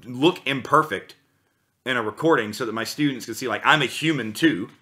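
A man's voice: mid-range voice, brisk. A man in his thirties, a voice teacher, telling a long story in casual podcast chat, rambling with lots of restarts. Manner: rambling, animated, many restarts. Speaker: guest, voice teacher. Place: small room with guitars on the wall.

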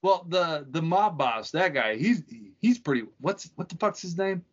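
Laughing, swearing podcast co-host. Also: male voice, a little high, animated, moderate pace, lo-fi sound. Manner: loose, laughing, swearing banter. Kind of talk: casual profane chat. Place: home room, remote video call.

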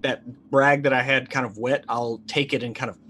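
A man's voice: mid-range voice, fairly even intonation, brisk, a bit bright. A man in his thirties improvising as a player in a tabletop roleplaying game, speaking in hesitant, broken phrases. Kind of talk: improvised in-character roleplay and narration.

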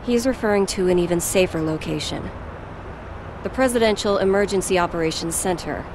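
An actress playing a serious, composed presidential aide, formal and precise, in a dubbed anime game cutscene. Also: female voice, lowish voice, a little fast, noisy background, close to an everyday voice. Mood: grave, composed.

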